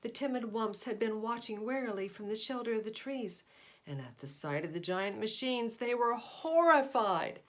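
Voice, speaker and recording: A woman's voice: on the high side, expressive, normal speed. A woman reading a picture book aloud to children, stressing key words expressively and speaking aside to her listeners. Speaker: volunteer picture-book reader.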